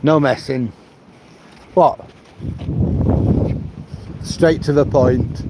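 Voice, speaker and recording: A man's voice: mid-range voice, extremely slow. An older Mancunian man, past seventy, chats on a phone live stream while out on a seaside promenade at night. He rambles, greets viewers by name and talks in a middling voice. Heavy wind buffets the mic and the sea roars behind him. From Manchester, England. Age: 70s. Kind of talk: casual live-stream chat reading viewer comments. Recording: loud wind noise on the mic. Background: wind and sea noise.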